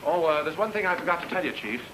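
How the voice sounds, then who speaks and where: An actor playing a wry, quick-witted amateur sleuth in an old-time radio detective drama, reasoning casually aloud. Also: male voice, a little high, lively intonation, heavy background noise, close to an everyday voice.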